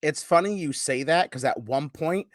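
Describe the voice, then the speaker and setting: A man co-hosting a casual sports podcast, animated and profane, now and then bursting into loud yelling. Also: on the high side, moderate pace, no room sound, clean studio sound. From United States. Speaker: podcast co-host.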